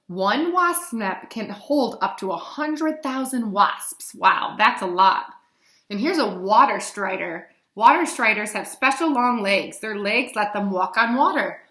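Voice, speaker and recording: Lady teacher reading a kids book out loud, kinda high voice, exclaims and explains stuff simply, echoey room. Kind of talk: read-aloud picture book lesson for young children. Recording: roomy echo.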